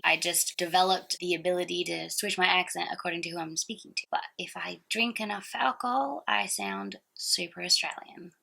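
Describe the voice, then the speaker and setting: A woman's voice: on the low side, vocal fry, pushed and bright, poor audio. A woman in her thirties from Singapore, narrating her own story in a playful, self-mocking way. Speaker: singer and host. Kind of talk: scripted personal narration.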